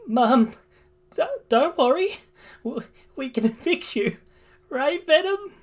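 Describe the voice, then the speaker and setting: A man puts on an anguished teenage boy from a superhero story. The voice is pushed high and breaks as it pleads, tearful and earnest. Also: slow pace, gravelly, heavily acted. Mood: tearful, pleading.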